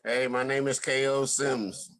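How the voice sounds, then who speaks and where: A man giving casual interview answers as an artist and actor, earnest and a little halting, repeating words. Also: mid-range voice, level tone, a bit bright.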